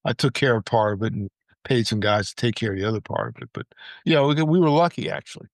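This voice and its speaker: A man's voice: lowish voice, expressive, fast, creaky, dry recording. American man chatting casually, very dry sound. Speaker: podcast co-host. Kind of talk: casual chat.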